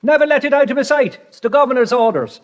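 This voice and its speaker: An actor in an audiobook drama playing a chatty, eager comic stage performer, proud and chirpy. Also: male voice, very high voice, fast.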